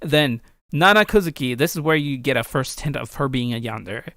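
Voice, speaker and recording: A man's voice: mid-range voice, animated, moderate pace, bright, forceful tone, no room sound, studio quality. A man giving casual commentary on his own game as its creator, informal and rambling, often correcting himself. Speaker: game creator and video host.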